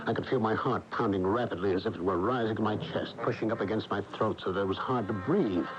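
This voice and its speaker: An actor playing a defensive, high-strung middle-aged workaholic husband with an American accent in a radio drama. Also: male voice, fast, crowd noise, close to an everyday voice. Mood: tense narration. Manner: agitated, defensive, sardonic. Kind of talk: 1980 radio drama acting and narration. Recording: noisy dull AM radio broadcast tape.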